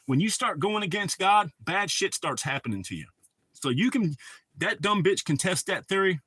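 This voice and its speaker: A middle-aged man vents on his livestream, cursing freely as he rambles through a story. His voice sits a little high and sounds bright and forceful. The sound is dry and close, with no room echo. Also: very animated, normal speed, husky. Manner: profane, rambling, heated storytelling. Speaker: livestream host. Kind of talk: casual ranting chat.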